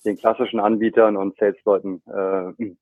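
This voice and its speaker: A man's voice: fairly low pitch, little pitch movement, normal speed, breathy edge, dry recording. A man from Cologne, a startup founder chatting as a podcast guest, full of fillers and restarts. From Germany, Cologne. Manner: chatty, many fillers and restarts, laughs often. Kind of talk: podcast interview. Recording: dry, phone-like line.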